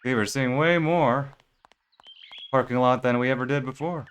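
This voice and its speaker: A man's voice: mid-range voice, normal speed, fairly bright tone, a little hiss or hum. A young man thinking aloud while playing a game, rambling and correcting himself as he goes. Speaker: streamer playing a video game. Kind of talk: casual let's play commentary.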